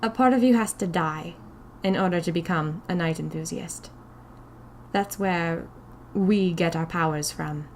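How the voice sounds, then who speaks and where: Woman as a reserved, slow-speaking young gentleman. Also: on the low side, a little hiss or hum. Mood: guarded, sad. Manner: polite, hesitant, earnest. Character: reserved, kindly young man in secret magical society. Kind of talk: audio fiction storytelling.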